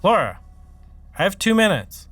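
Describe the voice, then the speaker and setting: A man's voice: high voice, big pitch swings, moderate pace, background noise. A man playing a casual young man about to propose in a sitcom. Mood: puzzled, casual.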